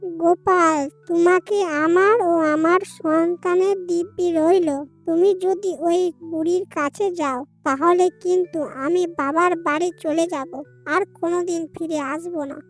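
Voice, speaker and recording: A woman's voice: very high voice, steady tone, measured pace, gravelly, full character voice. A woman voicing a cruel, domineering young daughter-in-law in a melodramatic cartoon, harsh and bossy. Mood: defiant, spiteful. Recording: music under the voice.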